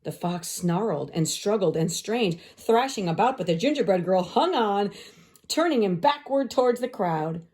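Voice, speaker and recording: Woman teacher reading a kids picture book out loud, really animated, mid pitch. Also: moderate pace. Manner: expressive, clearly phrased for children. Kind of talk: children's storybook narration.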